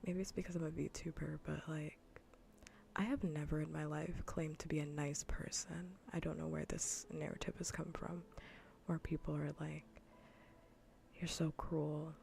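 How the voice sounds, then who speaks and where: A woman streamer chatting softly in ASMR style close to the microphone, often in a breathy whisper, with a dry, unhurried, matter-of-fact way of talking. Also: low voice, flat delivery, slight background noise. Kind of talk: soft-spoken ASMR gaming livestream chat.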